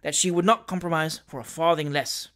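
A man's voice: fairly high pitch, steady tone, fairly quick, a bit bright, natural voice. A man voicing a smug, sly junior law partner in an audiobook, agreeing and needling with polite insistence. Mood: smug concurrence.

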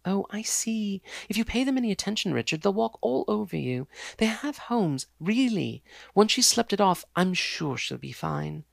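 A man's voice: high-pitched, sing-song, normal speed, vocal fry, hardly any pauses, no echo, voice pushed up, heavily acted. A man voicing a bossy, snobbish young woman. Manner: bossy and scolding.

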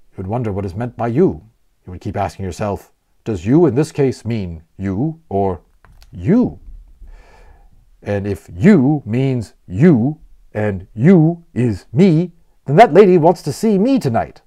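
A man's voice: medium pitch, big pitch swings, unhurried, mellow. A man voicing a genial, talkative man who explains things proudly and playfully as a guide in a storybook. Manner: explanatory, emphatic, playfully wordy.

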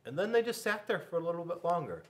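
A middle-aged man reads a picture book aloud to children in a classroom. His voice is fairly high for a man and very lively, with expressive, dramatic pauses. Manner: expressive, dramatic pauses, questions to young listeners. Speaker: school resource officer reading to children. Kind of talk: animated storybook read-aloud. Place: small school classroom, indoors.

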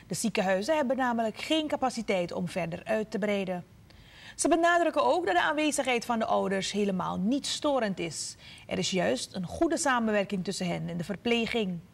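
Formal female news anchor, slightly high. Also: animated, vocal fry. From Suriname. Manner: measured, formal delivery. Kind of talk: scripted news narration. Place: TV news studio.

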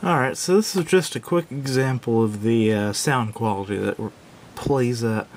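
Hesitant man reviewing gadgets, noisy recording. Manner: hesitant, halting, self-doubting. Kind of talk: casual unscripted product review. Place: indoors at a tabletop. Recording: clear background noise with low rumble.

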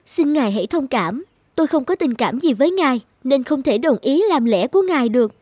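A woman voicing a gentle, timid young maiden in an animated folk tale. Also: high-pitched, a little fast, no room sound. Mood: polite, firm.

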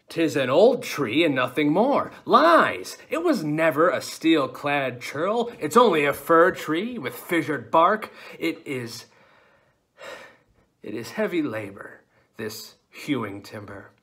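Man performing young woodsman lad, outlawed, defiant and dreamy, stage monologue, higher-pitched, unhurried, archaic theatrical phrasing. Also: sing-song, fairly bright tone, heavily acted. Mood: agitated. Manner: theatrical, archaic phrasing, sudden swings from musing to outcry.

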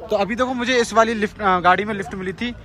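Excited young man vlogging by phone. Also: sits high, quick pace. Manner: excited, chatty, addresses viewers as guys. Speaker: travel vlogger. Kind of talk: casual travel vlog to camera. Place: outdoors on roads and inside moving cars. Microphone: phone held at arm's length. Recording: outdoor street noise. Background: traffic and wind noise.

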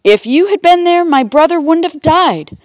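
A woman's voice: extremely high pitch, expressive, moderate pace, no echo, voice pushed up. A woman voicing an earnest, practical, emotional sister in a storybook Bible tale.

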